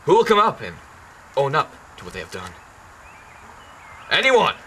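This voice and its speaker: A man's voice: a little high, huge pitch swings, moderate pace, bright, forceful tone, slight background noise, full character voice. A man playing a proud, suspicious, commanding king in an amateur Greek tragedy, speaking in formal declamation.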